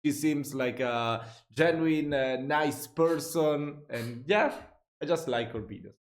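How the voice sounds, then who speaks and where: Guy reacting to a vid, kinda high voice, jokey and laughing, roomy echo. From Italy. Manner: chatty, jokey, quick to laugh and swear. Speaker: reaction video host. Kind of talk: casual reaction commentary. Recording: echoey room.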